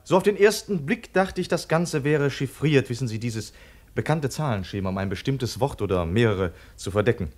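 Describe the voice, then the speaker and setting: An actor playing a polite, earnest gentleman in a 1950s radio crime drama, speaking courteously and precisely. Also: medium pitch, lively intonation, moderate pace, natural voice. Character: polite, earnest gentleman consulted on a detective case.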